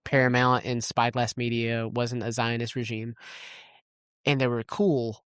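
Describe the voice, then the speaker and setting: A man's voice: medium pitch, little pitch movement, a little slow, fairly bright tone, clear, resonant tone, dry recording, studio quality. A man co-hosting a podcast in casual movie chat, rambling and blunt, swearing freely. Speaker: podcast co-host.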